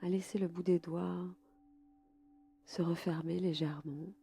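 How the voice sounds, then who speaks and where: A woman guiding a relaxation session slowly and calmly, in a soft, breathy voice that often nears a whisper. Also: fairly low pitch, faint music behind. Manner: calm, soothing, unhurried. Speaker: podcast host and yoga nidra guide. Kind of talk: slow, soft guided relaxation.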